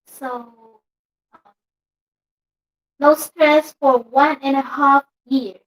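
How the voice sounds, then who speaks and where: Young filipina woman vlogging, high voice, giggles a lot, mixes in tagalog. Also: fairly even intonation, very slow, low-quality recording. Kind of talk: casual chat.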